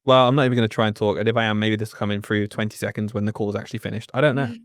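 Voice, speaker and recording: A man's voice: lowish voice, fairly even intonation, rapid-fire, dry recording, clean studio sound. A British man bantering on a video call as a guest, wry and joking. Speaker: podcast host guest. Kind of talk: casual banter.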